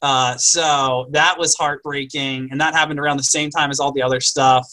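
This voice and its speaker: A man co-hosts a relaxed talk and reflects on his own setbacks. His voice is bright and forward, and his pitch sits in the middle. He tells his story in an easy, steady way. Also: moderate pace. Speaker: podcast co-host. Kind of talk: casual advice chat.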